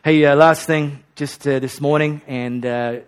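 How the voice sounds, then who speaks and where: A man's voice: on the high side, steady tone, measured pace, clear, resonant tone. A male pastor preaching a sermon and leading an altar call in a church. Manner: earnest, leads a repeat-after-me prayer. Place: hot church auditorium.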